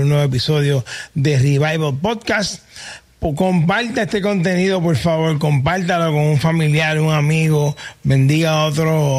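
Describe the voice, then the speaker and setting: A Puerto Rican man hosts a casual podcast interview. He introduces his guest enthusiastically and chats warmly, with a voice sitting a bit high for a man. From Puerto Rico. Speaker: podcast host.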